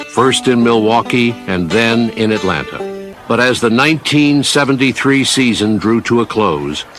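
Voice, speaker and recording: Male documentary narrator over music. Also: mid-range voice.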